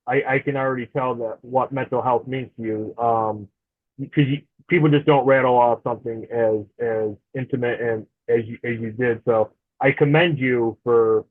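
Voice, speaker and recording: A man in his forties, a podcast guest, talking in a chatty, friendly way and laughing easily over a remote call. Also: mid-range voice. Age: 40s. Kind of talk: casual interview chat. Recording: tinny phone-like remote line.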